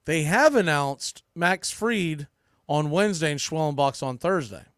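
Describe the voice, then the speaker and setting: A man with a slightly high-set voice talks through baseball as a podcast host. He speaks off the cuff in a conversational way, often revising himself mid-thought. The sound is dry, with very little room around it. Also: expressive, unhurried, a bit bright, no echo. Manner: conversational, thinking aloud with self-corrections. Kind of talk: sports preview commentary, off the cuff.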